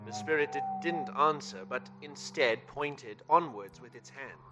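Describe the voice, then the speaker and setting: A man's voice: medium pitch, measured pace, music in the background, close to an everyday voice. A man playing a storyteller, narrating a Victorian ghost tale in a dramatic, literary radio-play style. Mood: solemn, suspenseful.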